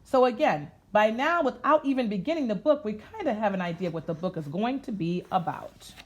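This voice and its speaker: A woman reading aloud to children in an expressive, sing-song way, talking to them directly as she goes. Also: mid-range voice, a little fast. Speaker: children's story-time reader. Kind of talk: interactive read-aloud to children, part sung.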